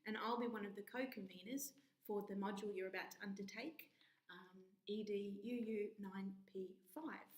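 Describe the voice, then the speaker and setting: An Australian woman lecturer gives a spoken course introduction at a mid pitch. She talks in a chatty way, often pausing on ums and restarting sentences. Her voice carries a noticeable room echo. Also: steady tone. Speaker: university lecturer, module co-convener.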